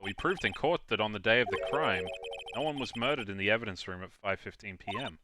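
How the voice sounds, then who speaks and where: A man's voice: fairly low pitch, animated, fast talker, very noisy, lightly acted. A man playing an earnest, dogged defense lawyer with wry asides in a live game dub. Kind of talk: live fan dub of a visual novel game.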